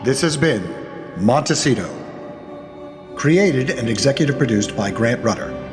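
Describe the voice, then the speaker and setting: A man's voice: medium pitch, lively intonation, measured pace. A man giving a scripted, polished voice-over for an audio soap opera, as its dramatic announcer. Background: music.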